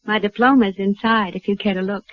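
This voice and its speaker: An actress playing a matter-of-fact frontier woman doctor in a radio western, with a brisk, poised, dry delivery. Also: medium pitch, steady tone, lightly acted. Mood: composed, dry. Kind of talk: 1950s radio western acting. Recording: dull vintage radio recording.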